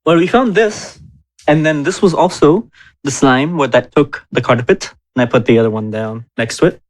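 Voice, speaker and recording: Higher-voiced man bantering in a tabletop game. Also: normal speed, poor audio. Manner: jovial, teasing. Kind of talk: casual in-character banter.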